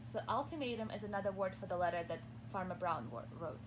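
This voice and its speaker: A woman reading a picture book aloud to young children as a preschool teacher, expressively, pausing to explain simply and ask questions. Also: mid-range voice, a little hiss or hum. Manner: expressive, asks questions and pauses for answers.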